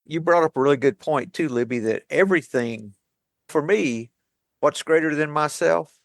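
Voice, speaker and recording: A man co-hosting a recovery podcast, calm and wry, questioning himself aloud. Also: on the high side, normal speed, gravelly, dry recording, studio quality. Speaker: podcast co-host. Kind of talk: reflective recovery group talk.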